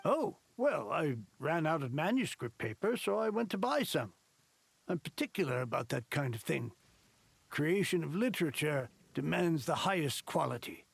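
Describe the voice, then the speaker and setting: Husky, fussy struggling writer. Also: male voice, fairly high pitch, sing-song, moderate pace, full character voice. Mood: sheepish, fussy. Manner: wordy, fussy, theatrical. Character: self-deprecating, flustered, opinionated down-on-his-luck male writer. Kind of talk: English video game voice acting.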